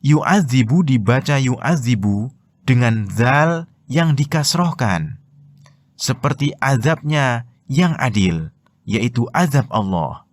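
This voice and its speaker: A man with an Indonesian accent reading scripted religious commentary in a measured, explanatory way. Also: medium pitch. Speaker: narrator of Quran translation and commentary.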